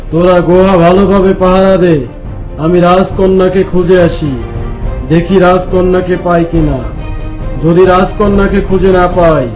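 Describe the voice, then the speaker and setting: Guy doing a cartoon demon boss, bossy and menacing, pretty high voice, music behind. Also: poor audio. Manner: commanding, boastful. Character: boastful menacing male demon master. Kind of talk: Bengali fairy-tale cartoon acting.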